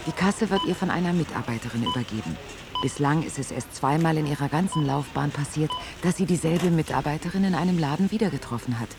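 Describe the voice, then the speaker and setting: An actor narrating a radio drama in an observational, detailed storytelling way. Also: male voice, a little high, natural voice. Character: storytelling male narrator describing an airport worker's life. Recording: clear ambient noise behind.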